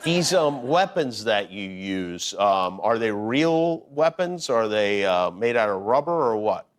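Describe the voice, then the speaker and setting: A man's voice: fairly low pitch, big pitch swings, a little slow. A man hosting a casual talk show interview, joking playfully and laughing often with his guest.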